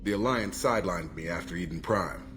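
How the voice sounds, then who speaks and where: A man's voice: low voice, fairly quick, a bit bright, slight background noise. A man playing a serious, principled ex-military operative in a video game, speaking matter-of-factly. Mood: earnest, steady. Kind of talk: video game dialogue acting.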